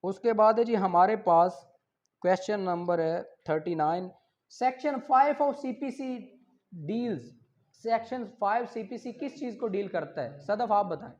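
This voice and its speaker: A man with a Pakistani accent teaching an online law class, reading English questions slowly, explaining them in Urdu and calling on students by name. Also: high-pitched, darkish tone, muffled recording. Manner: calls on students by name, brisk and encouraging.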